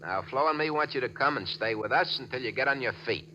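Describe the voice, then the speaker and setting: A brash gangster boss from a forties radio play. The delivery is animated and forceful, glib and used to giving orders. It sits on a dull vintage recording with faint noise. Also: male voice, mid-range voice, quick pace. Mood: hearty, boastful. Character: slick, bossy gangster nightclub owner, falsely jovial. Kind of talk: 1940s radio drama acting.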